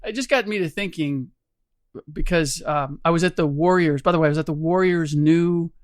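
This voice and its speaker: A middle-aged man hosting a podcast in casual chat, his voice hoarse and a little rough, rambling with restarts and small chuckles. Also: on the high side, normal speed, no room sound, studio quality. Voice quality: slightly rough voice. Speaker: podcast host.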